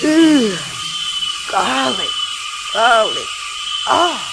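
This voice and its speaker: An actress playing a coy, teasing young woman in a radio drama, speaking softly. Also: a little high, very animated, very slow, creaky, heavy background noise, heavily acted.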